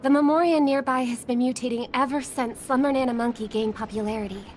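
A woman playing a gentle, polite young singer in a video game, speaking softly and with measured care. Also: sits high, fairly even intonation, slight background noise, lightly acted. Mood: thoughtful, concerned. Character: gentle young female pop singer, caring, polite, thoughtful. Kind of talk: video game story acting.

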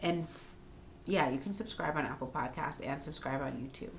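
A woman's voice: sits low, moderate pace, a little hiss or hum. A young woman hosting a casual podcast, talking frankly with many fillers. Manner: chatty, frank, with frequent fillers. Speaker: podcast host, daycare owner. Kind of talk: casual chat. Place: small home room.

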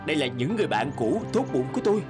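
A poor, patient guitar player turned fisherman from a fairy-tale cartoon. The voice is a little high and expressive, given to exclamations. Music runs clearly underneath. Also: very fast. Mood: content.

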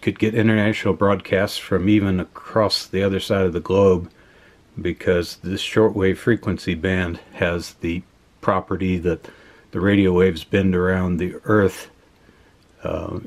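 Man, low voice, measured, matter-of-fact, casual unscripted demonstration, indoor workbench. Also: darkish tone. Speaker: host of an electronics teardown video.

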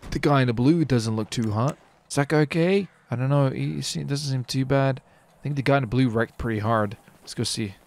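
A man's voice: mid-range voice, very animated, normal speed, darkish tone. A man commentating casually on his gameplay as a streamer, with slangy, sweary talk. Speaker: streamer hosting a gaming video.

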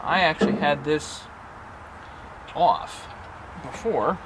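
A man casually narrating a how-to while he works outdoors, talking himself through each step. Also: fairly high pitch. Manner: chatty, mutters step by step. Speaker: DIY sawmill owner. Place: outdoors at a sawmill. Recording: loud outdoor noise.

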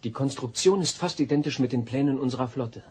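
An actor playing a polite, formal android officer in a radio drama, speaking literally and matter-of-factly. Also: male voice, medium pitch, fast, darkish tone, close to an everyday voice. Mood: informative. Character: polite, precise male android starship officer. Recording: noisy old cassette audio drama.

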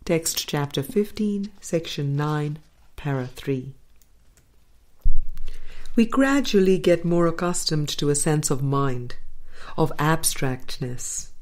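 Slow, low-voiced woman reading aloud. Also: darkish tone. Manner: measured, deliberate, with phrase-by-phrase pauses. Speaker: audiobook reader. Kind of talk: reading a spiritual book aloud.

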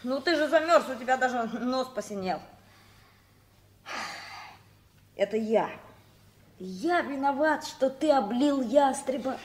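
A woman's voice: high-pitched, animated, normal speed. An actress playing a cheeky, sceptical orphan boy in a radio play, blunt, boyish and teasing. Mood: concerned. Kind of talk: 1960s Soviet radio play acting.

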